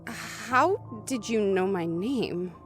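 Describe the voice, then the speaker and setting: A woman's voice: medium pitch, lively intonation, slow pace, soft background music. A woman playing an anxious woman trapped in an elevator with a stranger, in an audio thriller. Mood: suspicious. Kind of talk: audio thriller acting with first-person narration.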